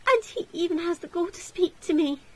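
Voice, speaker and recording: A woman's voice: very high-pitched, expressive, brisk, fairly bright tone, voice pushed up, low-quality recording. A woman voicing a bitter, hot-tempered young woman in a visual novel, indignant and sarcastic, with emphatic delivery.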